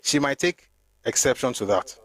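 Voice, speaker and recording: A man's voice: mid-range voice, expressive, moderate pace, fairly bright tone. A middle-aged man with a Nigerian accent, hosting an emphatic, argumentative opinion monologue with frequent restarts. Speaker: host.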